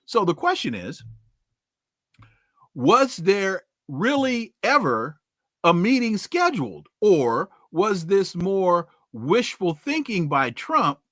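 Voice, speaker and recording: A man's voice: high voice, fairly bright tone, no room sound. Emphatic male podcast host, dry sound. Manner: emphatic, rhetorical questions and folksy sayings. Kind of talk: news commentary and interview opening.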